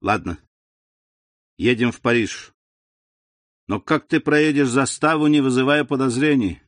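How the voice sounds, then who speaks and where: A man's voice: medium pitch, unhurried, dry recording, close to an everyday voice. A wary, sullen young swindler with a clipped, grumbling delivery, as a male reader puts him on. The voice is a mid-range male voice, recorded close and dry.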